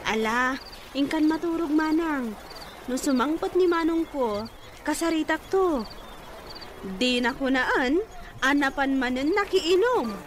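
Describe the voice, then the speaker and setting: Very high-voiced outspoken young Filipino woman. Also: lively intonation, unhurried, pauses often, background noise. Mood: concerned. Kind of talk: radio drama acting.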